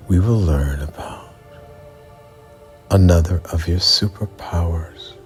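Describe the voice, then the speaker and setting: A man narrating a bedtime story for children as a guide, in a measured, deliberate delivery with pauses mid-sentence. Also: low voice. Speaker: bedtime storyteller and mindset coach. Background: background music.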